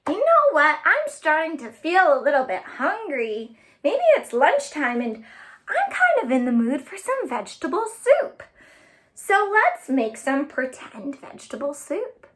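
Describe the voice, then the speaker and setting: A woman hosting a storytime for children, speaking in an animated way and addressing them directly with simple instructions and phrases. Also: high-pitched, a little slow. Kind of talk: children's storytime with sing-along chants and play instructions.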